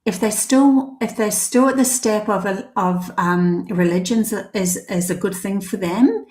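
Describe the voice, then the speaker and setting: A woman's voice: medium pitch, unhurried, a little mellow, roomy sound. A woman from New Zealand with a mixed New Zealand and Australian accent, giving a live tarot reading in a rambling, hesitant way with many ums. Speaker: tarot reader.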